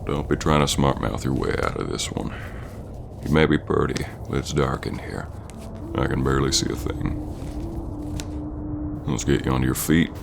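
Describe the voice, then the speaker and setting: Extremely low and heavy with gravel, this is a gruff Old West bounty hunter acted by a man in an audio roleplay. He speaks with stern, gentlemanly menace and folksy grammar. Clear background noise and ambience sit behind the voice. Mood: stern, threatening. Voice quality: very deep, gravelly. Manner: slow-burning, stern gentlemanly drawling talk with folksy grammar. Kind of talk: ASMR roleplay acting addressed to the listener.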